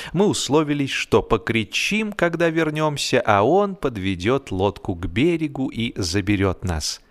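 A man reading a humorous story as an expressive audiobook narrator, theatrical and measured, with dramatic pauses. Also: lowish voice. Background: background music.

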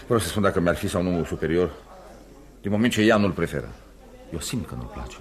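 A man's voice: deep voice, animated. An actor playing an ambitious, determined young male office clerk in a radio play, speaking emphatically. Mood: earnest, defensive. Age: young adult. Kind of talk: radio theatre acting.

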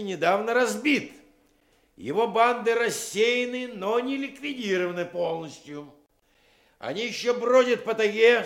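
An actor playing an earnest Soviet official, giving an oratorical briefing to young recruits in a radio drama. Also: male voice, sits high, gravelly, exaggerated voice.

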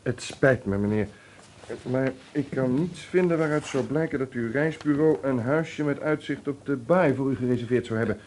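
A man's voice: mid-range voice, normal speed, a little mellow, lightly acted. Actor as formal, apologetic male receptionist. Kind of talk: radio drama dialogue. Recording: noisy old radio play recording.

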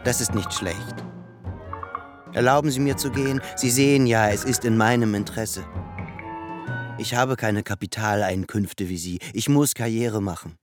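An actor voicing a self-interested young musician in a radio play, matter-of-fact and insistent. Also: male voice, lowish voice, normal speed, faint music behind, natural voice. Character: self-interested young male musician, slyly making excuses. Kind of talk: radio drama dialogue and narration.

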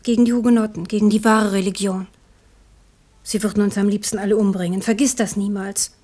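A woman's voice: mid-range voice, fairly even intonation, a little fast, mellow, close to an everyday voice. An actress playing a stern, devout queen who speaks earnestly and firmly to her young son in an old radio drama. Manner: earnest, warning, firm. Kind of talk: 1970s radio drama acting.

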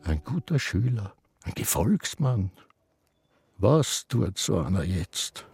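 An elderly Austrian sanatorium resident in a radio drama. He is querulous and rambling, drawing out his words slowly, with lively swoops in pitch. Music plays clearly beneath him. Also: dry recording, full character voice. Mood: musing, wistful. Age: older. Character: grumpy, forgetful old Austrian man in a sanatorium. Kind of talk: radio drama acting with inner monologue.

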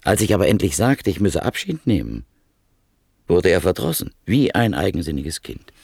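A man narrates a literary radio play in a grave, measured way. His voice is low and gravelly, with a husky edge. His intonation swings widely, and the sound is close and dry. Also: very animated, darkish tone, no echo.

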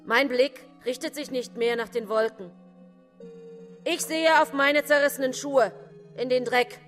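A woman's voice: sits high, measured pace, soft background music. An actress playing a wounded, vengeful, impassioned poor woman in a German radio play. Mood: bitter.

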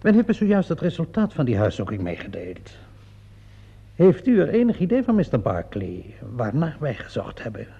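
A man's voice: on the high side, huge pitch swings, moderate pace, creaky, slightly rough, full character voice. A man acting a shrewd, theatrical police inspector in a radio play, smugly sure of himself and politely insistent. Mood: calm, pressing. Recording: muffled old radio play recording.

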